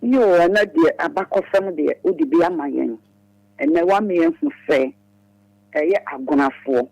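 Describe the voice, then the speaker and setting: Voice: mid-range voice, sing-song, measured pace, vocal fry, darkish tone, no echo, lo-fi sound. A caller with a Ghanaian accent giving comments on a radio phone-in show.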